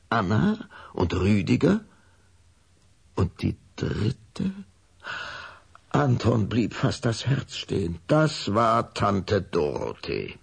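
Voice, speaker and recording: A man narrating a scripted children's radio story in an expressive, dramatic manner. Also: low-pitched, creaky, slightly rough.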